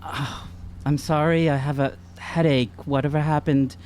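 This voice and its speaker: A man's voice: fairly high pitch, level tone, a little slow, darkish tone. A man playing a tabletop game, casually narrating his character's actions in the first person, with faint noise behind.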